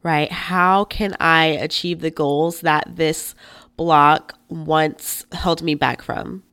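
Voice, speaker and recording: A woman hosting a podcast in a chatty, informal, expressive way, sounding a little nasal and congested from a cold. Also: sits low, slow pace, clean tone. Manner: informal and expressive, often checks in with "right?". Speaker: podcast host and life coach. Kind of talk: chatty solo podcast talk.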